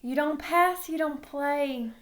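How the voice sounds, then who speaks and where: A woman's voice: sits high, slow, pitched above the performer's own voice. Woman playing a blunt, stern football coach. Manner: flat, firm. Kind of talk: children's novel read aloud.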